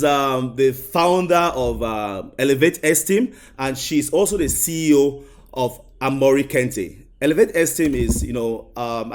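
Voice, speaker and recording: A man's voice: mid-range voice, darkish tone. Male talk show host. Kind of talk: studio interview hosting, addressing viewers. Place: indoor studio.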